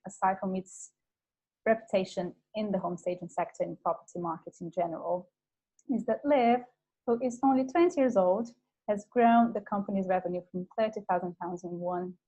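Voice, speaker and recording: A woman's voice: mid-range voice, dark tone, low-quality recording. Enthusiastic woman hosting a webinar. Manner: enthusiastic, gushing. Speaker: webinar host. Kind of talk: introducing a guest speaker.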